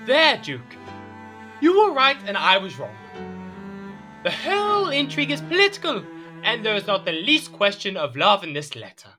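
A man's voice: sits high, wildly swooping pitch, normal speed, bright, forceful tone, full character voice. An actor playing a petulant, haughty and easily swayed king in an audio drama. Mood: excitable, surprised. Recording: music behind the voice.

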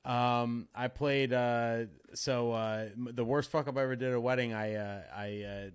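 A man's voice: mid-range voice, normal speed, clear, resonant tone, dry recording. A man in his thirties, a DJ, telling a story in casual podcast chat, laid-back and swearing a lot. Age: 30s. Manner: laid-back, swears a lot, loud bursts when excited. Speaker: DJ and podcast guest.

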